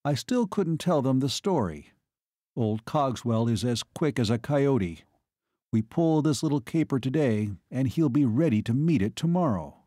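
A man voicing a steady veteran army captain in a story, level and matter-of-fact. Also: mid-range voice, measured pace, dry recording, clean studio sound. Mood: uneasy, matter-of-fact.

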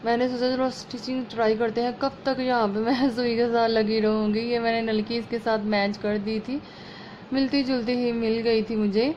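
Female vlog host, sore throat with a slight rasp, homely chatty tutorial delivery, somewhat high voice, muffled phone recording. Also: little pitch movement, moderate pace, darkish tone. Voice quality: slightly hoarse. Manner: chatty, homely, explaining as she works. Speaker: sewing channel host. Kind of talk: casual step-by-step sewing tutorial. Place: at home over a work table. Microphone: phone camera over hands. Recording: muffled lo-fi phone audio, faint room noise.

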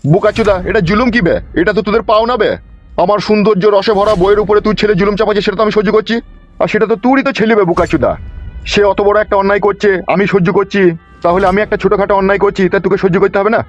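A man's voice: high-pitched, fairly even intonation, very fast, natural voice. A man voicing a brutal, bullying politician boss in an amateur comedy cartoon, domineering and foul-mouthed. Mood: scornful, self-justifying. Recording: dull lo-fi audio over music.

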